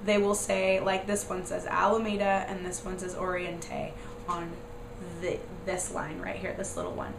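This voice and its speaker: A young American woman, hoarse from a cold, with a raspy, squeaky voice, casually rambling through a vlog with lots of "like" and "um". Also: level tone, normal speed. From United States. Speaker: vlogger. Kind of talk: casual chatty explanation. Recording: echoey room with noise.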